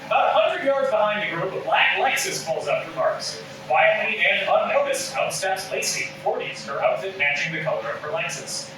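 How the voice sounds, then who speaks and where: A man's voice: sits high, lively intonation, moderate pace, hardly any pauses. A man reading stage directions as scripted narration at a live table read. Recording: echoey room, distant mic, clear noise.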